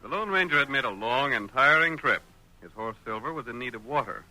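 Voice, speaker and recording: A man's voice: medium pitch, lively intonation, a little fast, pushed and bright, faint music behind, poor audio. An American man narrating an old radio western in a dramatic, declamatory style. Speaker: announcer and narrator. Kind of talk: 1940s radio drama narration, booming and theatrical. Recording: old radio broadcast recording.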